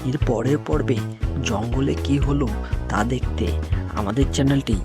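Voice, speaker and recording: A man's voice: medium pitch, normal speed, close to an everyday voice. Casting: scolding animal of the cartoon woods played by a man, emphatic, grumbly delivery, music underneath. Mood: scolding, showy.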